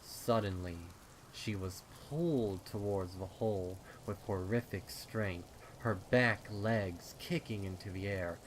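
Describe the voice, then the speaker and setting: A man with a lowish voice narrates a dark horror tale. His delivery is slow and suspenseful, with deliberate phrasing, over clearly audible rain. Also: slight background noise, poor audio. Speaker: fan fiction narrator. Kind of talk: dramatic horror story narration.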